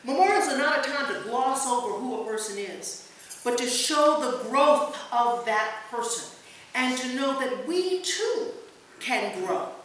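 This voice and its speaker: A woman's voice: high-pitched, expressive, unhurried, fairly bright tone. A woman pastor reading a written memorial tribute aloud at a church service, recorded from a distance. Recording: very echoey church, distant mic, faint noise.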